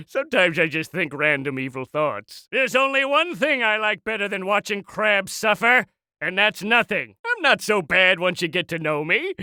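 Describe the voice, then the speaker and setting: A tiny one-eyed cartoon villain, with a very sharp, forceful voice pitched high for a male. He is scheming and bitter, swinging through theatrical, sarcastic rants as a video game schemer bent on domination. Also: clear, resonant tone, no echo, clean studio sound, exaggerated voice. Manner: theatrical, sarcastic, megalomaniacal ranting.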